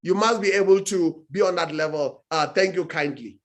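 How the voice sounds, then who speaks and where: A man's voice: high-pitched, moderate pace. A man, a guest speaker on a talk show, giving emphatic advice with frequent restarts and searching for words. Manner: emphatic, with many restarts and "what do you call it". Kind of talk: motivational talk-show answer.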